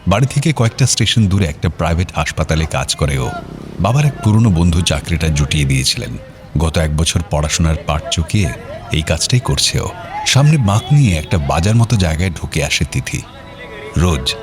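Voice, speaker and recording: A man narrating an audio drama as storyteller, in a dramatic scripted reading style. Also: deep voice. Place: studio. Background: music.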